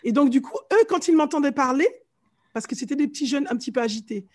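Lively woman with a light Martinican accent. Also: high voice, fast. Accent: light Martinican French. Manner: animated storyteller, mimics voices and sounds. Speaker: vocal coach guest. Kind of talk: casual interview chat.

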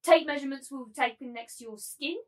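A woman's voice: sits high, quick pace, far from the mic, natural voice. A woman playing a cruel, gloating, domineering madam in a theatrical reading of a novel, taunting and imperious. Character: cruel, gloating, domineering brothel madam turned masculine tyrant. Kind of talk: dramatic table reading of a novel.

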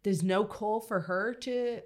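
A woman's voice: mid-range voice, slow. A woman co-hosting a podcast in casual chat, wry and opinionated, with plenty of swearing. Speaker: podcast co-host.

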